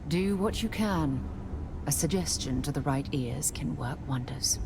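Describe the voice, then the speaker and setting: A caring yet no-nonsense woman explorer in a space video game. Her voice is notably deep and measured, with clear noise in the background. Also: expressive. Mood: firm, dry.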